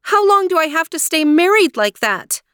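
A woman voicing an earnest, headstrong sixteen-year-old princess in a storybook, with an emphatic, expressive delivery. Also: high-pitched, moderate pace, no room sound, clean studio sound, voice pushed up. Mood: alarmed, upset. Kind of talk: storybook character voice.

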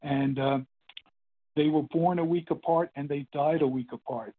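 An older man in his eighties sharing his story at a meeting, rambling and halting with many fillers and restarts. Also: a little high, steady tone. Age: 80s. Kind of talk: casual spoken testimony. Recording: phone-line call.

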